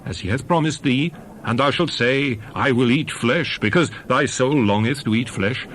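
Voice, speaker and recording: A man narrates scripture in a dramatized, solemn style, declaiming each phrase with emphasis. His mid-pitched voice rises and falls in lively, sweeping inflection. A faint noise sits behind the reading. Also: low-quality recording.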